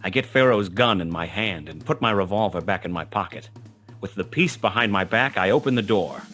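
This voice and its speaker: An actor playing a world-weary, wry, tough private detective who narrates a 1920s hard-boiled radio play in a clipped, dramatic manner. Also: male voice, lowish voice, animated, fairly quick, pushed and bright, faint music behind. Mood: tense.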